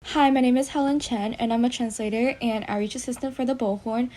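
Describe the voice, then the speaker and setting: A teenage young woman with an American accent, speaking as a student guest in a casual panel discussion, with measured, hesitant phrasing. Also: fairly high pitch, fairly even intonation, dark tone.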